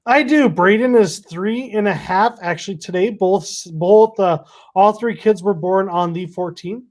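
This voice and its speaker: A man's voice: high-pitched, a little slow. A man, hosting a casual chat and teasing his co-host. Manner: easygoing, teasing.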